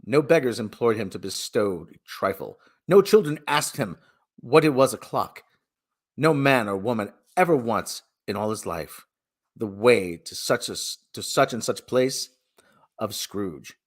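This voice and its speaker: A man giving a dramatic reading of a story, with theatrical, deliberate and emphatic phrasing. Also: on the low side, animated. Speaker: reader and streamer.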